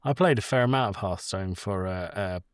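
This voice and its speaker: A man's voice: low voice, brisk, dry recording, clean studio sound. A man co-hosting a podcast, talking casually about film in a blunt, wry way with some swearing. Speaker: podcast host.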